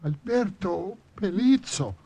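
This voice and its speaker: Frightened old landlord, very slow and high. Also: male voice, expressive, dark tone, heavily acted. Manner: hesitant, pleading. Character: frightened, submissive old Italian landlord man. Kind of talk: old-time radio drama acting. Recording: roomy, noisy vintage radio recording.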